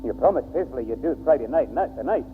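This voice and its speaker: A grumpy old grandad with a Northern English accent, blustering in a highish voice that swoops about. It is 1960s radio comedy, thin and noisy. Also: male voice, expressive, very fast, phone-quality sound. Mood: impatient. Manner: blustering, exasperated. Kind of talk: 1960s British radio sitcom acting.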